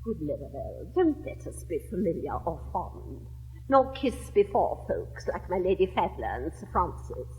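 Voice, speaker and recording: A woman's voice: on the low side, very animated, normal speed, warm tone, big-room sound, low-quality recording. An English actress in her seventies playing a witty, capricious young lady of fashion, with an affected, swooping theatrical drawl. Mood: imperious. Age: 70s. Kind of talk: 1960s classic stage recording.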